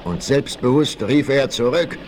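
A man's voice: medium pitch, lively intonation, a little slow. A man narrating a 1960s German radio play in a dramatic, scripted storytelling style. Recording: noisy old vinyl rip.

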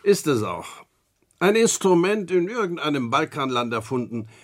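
Solemn lawyer uncle, middle-aged, radio crime drama, weighty storytelling, very varied pitch. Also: male voice, very animated, moderate pace. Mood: grave. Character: grave male lawyer and uncle recounting grim tales. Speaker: actor in a radio play.

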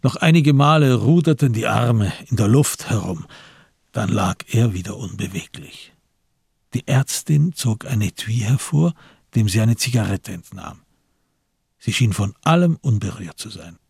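Husky male narrator of a crime drama. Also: lowish voice, expressive, a little slow, darkish tone, no echo, clean studio sound. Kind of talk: scripted literary narration for radio crime drama.

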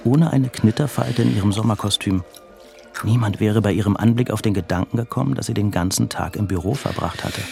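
Narrator, male: scripted literary storytelling, creaky low voice. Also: brisk, dark tone, faint music behind.

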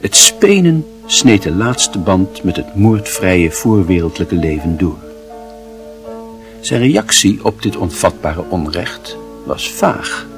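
A man narrating a literary radio drama in a solemn, measured and expressive manner. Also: low voice, music in the background, heavily acted.

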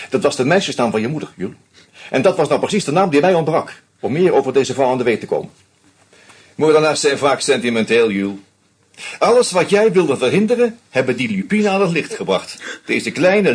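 An actor in a radio play voicing a shrewd, sardonic male investigator who lays out his case deliberately. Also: mid-range voice, sing-song, breathy edge. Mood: explaining. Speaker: detective confronting a killer. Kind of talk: radio drama acting.

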